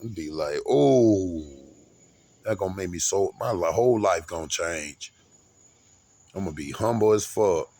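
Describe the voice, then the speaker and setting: Rambling low-voiced man in his forties. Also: animated, measured pace, long pauses, warm tone. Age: 40s. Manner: grandiose, rambling, repeats and restarts. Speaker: vlogger. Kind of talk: rambling monologue.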